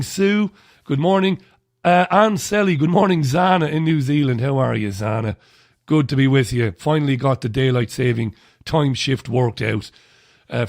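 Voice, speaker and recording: A man in his forties hosts a live radio show from a studio, chatty, blunt and sardonic. His voice is gravelly and a little throaty, with plenty of fry. The sound is dry and close, with no room echo. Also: medium pitch, darkish tone. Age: 40s. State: slightly throaty.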